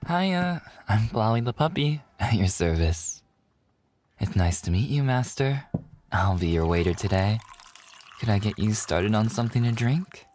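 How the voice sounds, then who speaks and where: A man's voice: medium pitch, darkish tone, dry recording. A man voicing an eager, adorable young puppy-boy waiter in boyfriend ASMR roleplay, with a cutesy, bubbly, earnest way of talking. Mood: cheerful, eager.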